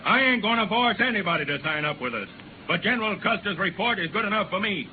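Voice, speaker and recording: An actor playing a headstrong frontier party leader in 1930s radio drama. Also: male voice, high voice, animated, fast. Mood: insistent. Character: headstrong gold-rush party leader urging men on. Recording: tinny noisy 1930s radio recording.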